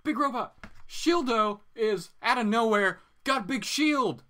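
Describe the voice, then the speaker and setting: Man, bright voice, casual livestream host, rambling, animated, geeky, chatty with viewers. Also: extremely high pitch, unhurried. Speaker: host of a comics reading show.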